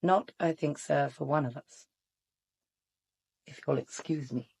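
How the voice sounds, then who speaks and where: A woman's voice: sits low, a little slow, vocal fry, darkish tone, husky, exaggerated voice. An actress playing a stern, devoted woman servant in a radio drama, deferential yet pointed. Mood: pointed, restrained. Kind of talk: British radio drama acting.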